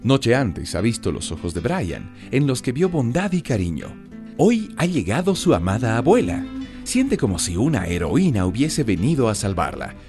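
A man's voice: mid-range voice, sing-song, moderate pace, over music. A man narrating a radio serial in a dramatic, scripted storytelling style. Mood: dramatic, sympathetic.

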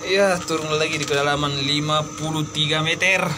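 Eastern indonesian guy filming at a mine, talking casually, kinda high voice, loud noise all over it. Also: fairly even intonation, a little fast. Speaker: miner filming a vlog. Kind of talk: casual running commentary. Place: cramped underground mine tunnel. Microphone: handheld phone. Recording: loud rumbling noise, handheld phone.

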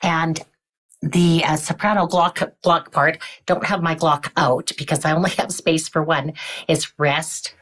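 An older woman music educator leading a webinar demonstration, her voice croaky, often clearing her throat and counting beats aloud. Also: low-pitched, fairly even intonation, unhurried, a bit bright.

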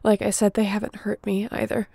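Woman acting a young survivor, very creaky and close. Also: medium pitch, monotone, a little fast, warm tone, no echo. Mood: determined, planning. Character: resilient, warm-hearted young woman survivor of zombie apocalypse. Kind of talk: dramatic audio roleplay addressed to the listener.

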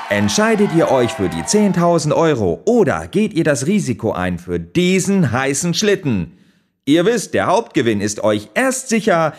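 A man playing a gushing, leering TV game show host in an audio drama, with theatrical, drawn-out showman delivery. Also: mid-range voice. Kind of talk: German audio drama acting.

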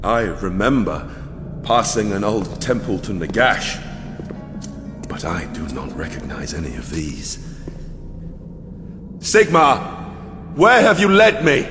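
An actor playing a devout, haunted and desperate warrior knight in a tormented, halting, dramatic audiobook style. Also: male voice, fairly low pitch, big pitch swings, exaggerated voice. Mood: haunted, grim, then desperate pleading. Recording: long cavernous reverb, music underneath. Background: music.

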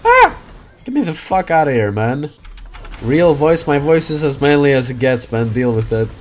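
A young man casually commentating his own gameplay on a headset mic, swinging into loud, swearing outbursts. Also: a little high, sing-song, normal speed, slight background noise. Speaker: game streamer. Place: small room indoors.

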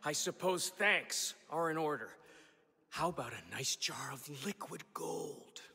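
A man's voice: a little high, lively intonation, a little slow, breathy edge, full character voice. A man playing a chatty, folksy beekeeper survivor in a video game, with a colloquial, wry manner. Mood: grateful, relieved. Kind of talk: video game character acting. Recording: loud game music behind. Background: loud music.

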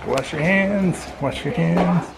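A woman's voice: fairly low pitch, slow pace, gravelly. A woman showing visitors around a farm, friendly and giving instructions. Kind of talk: casual chat. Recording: echoey room with noise.

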